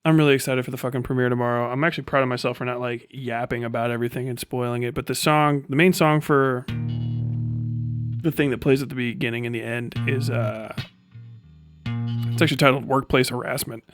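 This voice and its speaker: A man's voice: medium pitch, fairly even intonation, brisk. A man hosting a casual livestream, chatting with his viewers in a rambling, profane way. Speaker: livestream host. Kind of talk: casual livestream chat with chat replies. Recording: music behind the voice. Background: guitar playing and music.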